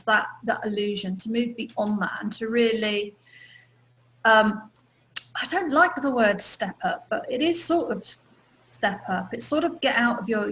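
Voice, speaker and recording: A woman with a fairly high voice hosts an interview. She asks thoughtful, probing questions and often pauses to search for the right words. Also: fairly bright tone, poor audio. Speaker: interview host.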